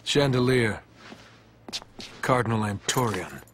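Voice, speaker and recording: An actor playing a dryly cocky, world-weary hunter with a sardonic manner in a dramatic video game. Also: male voice, medium pitch, lively intonation, slow pace, fairly bright tone, a little hiss or hum. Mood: dry, mocking. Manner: sardonic, dry.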